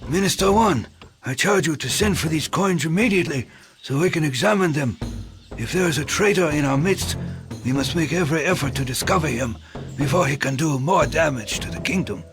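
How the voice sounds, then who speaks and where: A stately Korean king of old with a somewhat high voice. He speaks deliberately and formally, with regal command, in game-cutscene style. Prominent music accompanies him. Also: animated, fairly bright tone. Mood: concerned, commanding. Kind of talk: scripted historical game cutscene acting. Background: orchestral game music.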